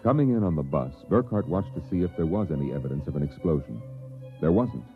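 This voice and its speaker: A man's voice: a bass voice, lively intonation, fast, over loud music. A man reading scripted narration for a 1950s radio drama. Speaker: story narrator. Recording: muffled, narrow vintage radio recording.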